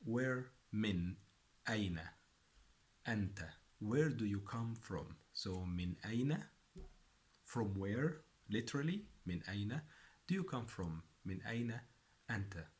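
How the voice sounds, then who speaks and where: A man's voice: lowish voice, animated. Patient man repeating phrases. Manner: slow and patient, repeats phrases several times. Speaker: Arabic teacher. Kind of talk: language lesson for beginners.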